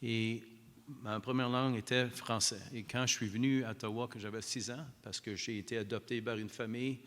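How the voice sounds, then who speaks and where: Homme hésitant dans une salle résonnante. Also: male voice, medium pitch, fairly even intonation, a little fast, a bit bright. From northern Ontario. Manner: hesitant, apologetic, enthusiastic. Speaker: independent election candidate. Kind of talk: debate statement. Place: arts centre hall. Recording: reverberant hall.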